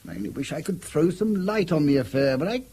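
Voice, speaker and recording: An actor playing an elderly, courteous retired army colonel with an upper-class English accent and old-fashioned military phrasing in a radio drama. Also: male voice, fairly high pitch, animated, fast, mellow, slightly rough. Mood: courteous, puzzled. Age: older.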